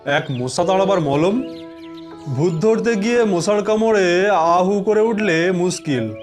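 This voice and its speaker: A man's voice: high-pitched, slow pace. A man voicing a wry, confident, bossy ghost-hunting investigator in an audio suspense story over music. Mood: dry, instructing. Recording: music bed behind the voice.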